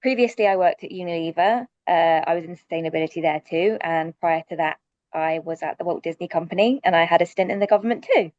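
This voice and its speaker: A woman's voice: lowish voice, quick pace, darkish tone, no room sound, lo-fi sound. A woman with a London accent, a sustainability director cheerfully introducing herself on a video call webinar, with frequent ums. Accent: London English. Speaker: sustainability director, panel moderator.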